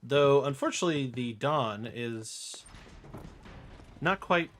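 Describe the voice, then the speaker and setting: A man's voice: mid-range voice, lively intonation, slow, a bit bright, a little hiss or hum. A man giving casual, playful live commentary as he streams a video game, sometimes slipping into goofy chanting. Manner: playful, breaks into goofy rap-style chanting and sound syllables. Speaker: video game livestreamer narrating a speedrun. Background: game music and sound effects.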